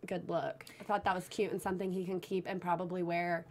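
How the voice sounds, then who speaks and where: A woman's voice: on the low side, level tone, brisk, a little hiss or hum. Woman on a tv recap panel, frank opinions, does little impressions of people. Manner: candid, opinionated, mimics people. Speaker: co-host. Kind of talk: casual chat.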